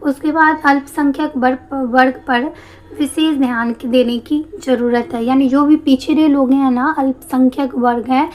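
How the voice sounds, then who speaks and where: A woman teacher with a slightly hoarse throat, explaining a history lesson step by step and answering her own questions. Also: high voice, fairly even intonation, normal speed. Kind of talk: exam-prep history lesson.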